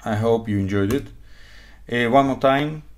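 A man's voice: medium pitch, normal speed. A man in his forties who is not a native English speaker, giving a live coding talk at a conference and explaining step by step with frequent "okay?" checks. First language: not English; speaks Hebrew, Russian, Ukrainian. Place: home room at desk.

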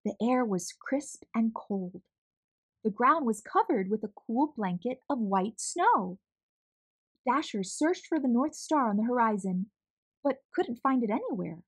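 A woman narrating a children's storybook as a read-aloud host, expressive, measured and clearly enunciated. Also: on the high side.

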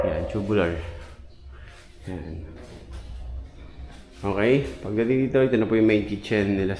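A man in his thirties, a contractor, chattily walking viewers through a renovated house, filming himself with a handheld camera. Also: on the low side. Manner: chatty, points things out with repeated fillers. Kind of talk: casual walkthrough vlog. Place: outdoors on a street and inside an empty house. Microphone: handheld action camera.

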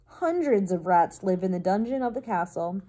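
A woman's voice: medium pitch, expressive, moderate pace, dark tone, lightly acted. A woman voicing a small, polite male mouse in a storybook, earnest and formal as he pleads. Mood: urgent. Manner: formal, deferential, insistent. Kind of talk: storybook character voice.

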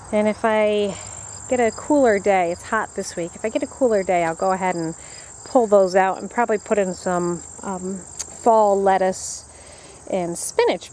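A woman in her thirties narrating a casual garden vlog, speaking into a handheld camera outdoors. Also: mid-range voice. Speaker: vlogger. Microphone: handheld camera at arm's length. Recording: outdoor camera audio with background noise.

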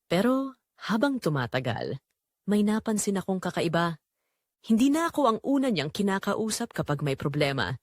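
A woman playing a betrayed young wife in an audio drama, narrating her heartbreak in earnest, measured, confessional phrases. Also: medium pitch, big pitch swings, dry recording, studio quality. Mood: wounded, reflective. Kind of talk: scripted first-person dramatic narration.

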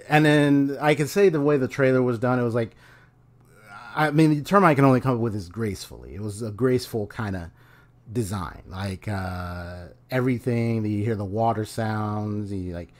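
A young man casually reacting to a game trailer at home, speaking in a rambling, hesitant way into a desk mic. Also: lowish voice. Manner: rambling, hesitant, many restarts, relaxed. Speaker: video game reaction youtuber. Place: small room at home, indoors. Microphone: desk microphone on a stand.

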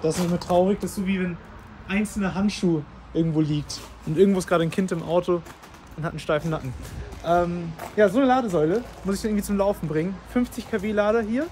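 Chatty high-voiced man vlogging on a road trip. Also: quick pace, a little mellow, a little hiss or hum. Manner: chatty, enthusiastic, frequent fillers. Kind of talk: casual road trip vlog. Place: in a car and outdoors at charging stations.

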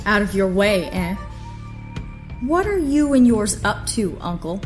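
A woman's voice: medium pitch, big pitch swings, measured pace. Woman playing a defiant young niece over music. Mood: accusing. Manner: earnest, dramatic, indignant. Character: defiant, idealistic young niece accusing her uncle. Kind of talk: audio drama acting.

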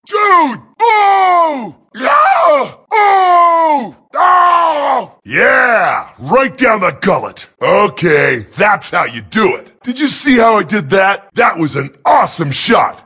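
Cocky, high-pitched robot warrior. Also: male voice, sing-song, slow, few pauses, pushed and bright, breathy edge, shouting, heavily acted. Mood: gleeful, cocky. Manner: boastful, wisecracking, punchy. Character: brash, cocky robot warrior who loves showing off. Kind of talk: video game voice acting.

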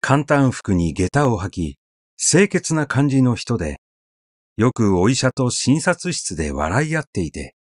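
A man narrating a literary story in a measured, conversational, expressive way, as if telling it to someone. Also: mid-range voice, dry recording, clean studio sound.

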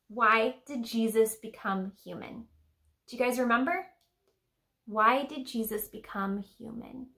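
High-voiced young woman teaching kids. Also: fairly bright tone. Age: young adult. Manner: simple, chatty, questioning address to children. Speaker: children's church teacher and host. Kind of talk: children's Sunday school lesson to camera. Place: small decorated room indoors.

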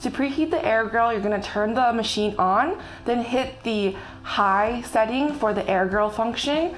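A woman's voice: fairly high pitch, unhurried, a little hiss or hum. Young woman chef talking through a recipe. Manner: friendly step-by-step instructions while working. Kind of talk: casual cooking demonstration. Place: bright home kitchen. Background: background music and sizzling food.

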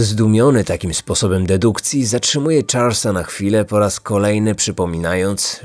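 Low-voiced man narrating true crime, dry sound. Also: dry recording. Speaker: podcast host and narrator. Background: background music.

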